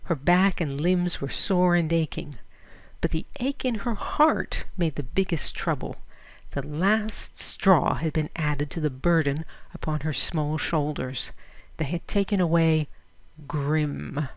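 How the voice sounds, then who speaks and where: A woman narrating a literary story in a measured, expressive storytelling manner. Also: sits low, dry recording. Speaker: audiobook reader.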